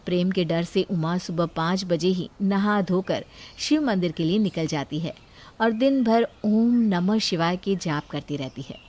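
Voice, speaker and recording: A woman's voice: on the low side, unhurried, a little mellow. A woman storyteller reading a scripted moral story as narrator.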